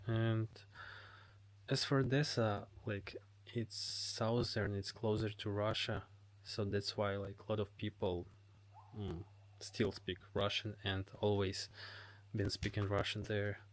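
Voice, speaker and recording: A man's voice: on the low side, level tone, takes it slowly. A Ukrainian man with a Ukrainian accent, hosting a live stream and answering chat comments in hesitant English full of fillers. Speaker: livestream host. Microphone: phone.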